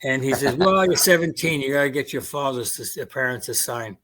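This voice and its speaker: A man's voice: medium pitch, fairly quick, fairly bright tone, a little hiss or hum. A man in his eighties with a Queens New York accent, German-born with German as his first language, reminiscing in a casual interview and quoting his family's words. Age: 80s. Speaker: veteran guest.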